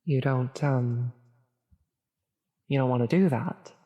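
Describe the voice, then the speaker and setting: A woman's voice: rumbling low, mellow, muffled recording. A woman playing a flustered, well-meaning female demon tempter in an ASMR audio roleplay, hesitant and stammering as she coaxes. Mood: persuading, puzzled. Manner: hesitant, halting, stammering, coaxing. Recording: long reverberant echo on the voice.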